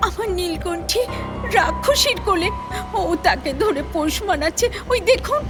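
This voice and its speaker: A woman's voice: very high-pitched, lively intonation, normal speed, music in the background. A woman playing a delicate, anxious young princess in a fairy-tale audio drama, with a plaintive, girlish, pleading delivery. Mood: tearful pleading.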